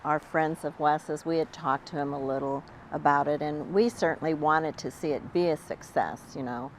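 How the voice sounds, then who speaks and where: A woman's voice: sits low, steady tone, normal speed, slight background noise, poor audio. A woman, a friend of the garden owner, speaking in an interview, hesitant and full of fillers.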